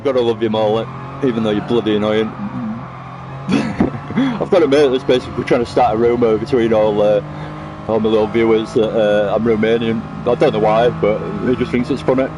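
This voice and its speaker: A man's voice: on the low side, fairly even intonation, fairly quick, lo-fi sound, noisy background. A man giving casual, chatty commentary while playing a racing game, laughing often and teasing friends. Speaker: sim racing streamer. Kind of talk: casual live-stream gameplay commentary. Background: music.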